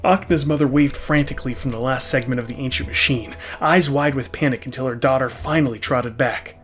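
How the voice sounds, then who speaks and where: A man's voice: mid-range voice, fairly even intonation, brisk, fairly bright tone. A man reading a scripted story expressively, like an audiobook narrator. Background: faint music.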